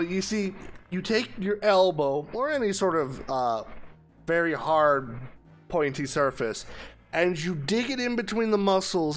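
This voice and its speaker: Bright, punchy-voiced man, slightly high. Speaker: gaming video co-host. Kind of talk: casual chat among friends over a game video.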